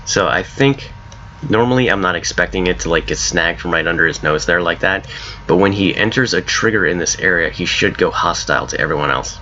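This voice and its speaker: Man, hoarse, deep voice, rambling gameplay commentary, dull home mic, faint hiss. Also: lively intonation, a little fast, pushed and bright. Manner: rambling, self-correcting, laughs at his own ideas. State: hoarse from a cold. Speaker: game modder showing his mod.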